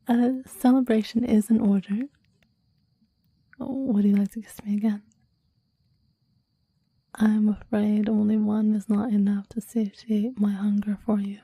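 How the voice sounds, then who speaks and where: A woman acts out a devoted, clingy demon lover in an ASMR romance. The voice is very dark and soft, mostly a breathy whisper, unhurried and very dry at the mic. The delivery is intimate and yearning, with formal, reverent phrasing. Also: mid-range voice, no room sound. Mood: blissful, adoring. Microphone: close microphone, dry.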